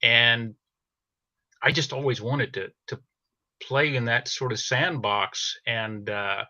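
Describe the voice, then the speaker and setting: Middle-aged male podcast guest: forward, bright voice, casual delivery, dry and slightly dull recording. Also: mid-range voice, slow pace, no echo. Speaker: podcast guest, audio drama producer. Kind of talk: casual interview talk.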